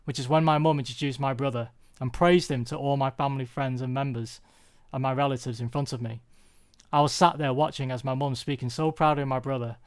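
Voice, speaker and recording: Young british guy doing a scripted personal talk, earnest n confessional, careful phrasing, mid pitched voice. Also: clean tone. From UK, born there. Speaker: speaker giving a personal talk. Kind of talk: prepared personal talk, storytelling.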